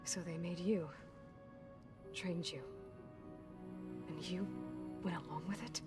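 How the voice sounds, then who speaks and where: A woman's voice: on the low side, fairly even intonation, slow pace. A woman playing a determined, guarded young heroine in a video game, with a direct, probing manner. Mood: indignant, probing. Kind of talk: video game dramatic acting. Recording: music behind the voice.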